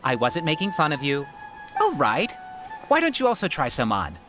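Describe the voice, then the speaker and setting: A woman's voice: deep voice, huge pitch swings, normal speed, a bit bright, exaggerated voice. A woman voicing a playful, teasing young theater friend in a video game, chirpy, with music behind.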